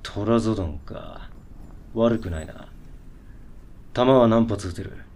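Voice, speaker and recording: An actor playing a cocky, condescending self-styled veteran who talks in brusque hard-boiled slang in a radio drama. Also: male voice, on the low side, expressive, slow, a little mellow, slight background noise. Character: cocky self-styled veteran antidepressant user talking like gunman. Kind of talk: Japanese radio drama dialogue.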